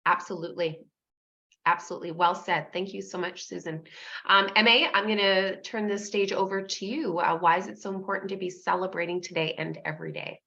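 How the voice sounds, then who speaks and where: A woman's voice: fairly low pitch, steady tone, fairly quick, creaky, bright, forceful tone. A woman moderating a panel, gracious and brief with her thanks. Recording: dull lo-fi audio.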